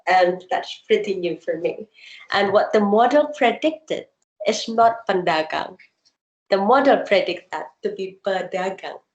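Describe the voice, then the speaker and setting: A woman's voice: medium pitch, lively intonation, a little slow, roomy sound, muffled recording. A woman whose first language is Indonesian, a researcher answering a question about her dissertation on a video call and explaining with examples. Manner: thoughtful, explains with examples, many ums.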